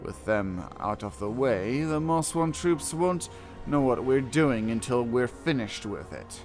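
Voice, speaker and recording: A man hosts a casual game playthrough at an unhurried pace, with game music clearly behind him. His voice sits at an ordinary pitch, and he drops dry, wry asides between stretches of reading. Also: expressive, a little mellow. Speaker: gaming video host. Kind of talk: casual game playthrough commentary, reading quest text aloud. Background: video game music.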